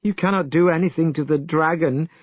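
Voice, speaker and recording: A man's voice: fairly high pitch, brisk, dry recording, voice pushed up, close to an everyday voice. A timid young priest whines in a raised, tearful voice, as a man reads him aloud. He sounds sorry for himself and pleading. The recording is dry and a little dull. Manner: whining, tearful. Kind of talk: storybook reading.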